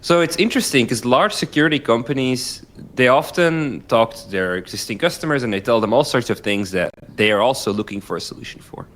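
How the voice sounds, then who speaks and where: A man's voice: medium pitch, a little fast, hardly any pauses, a bit bright. A man from Belgium, a company founder giving fluent explanatory answers as an interview guest, often checking in with "right?".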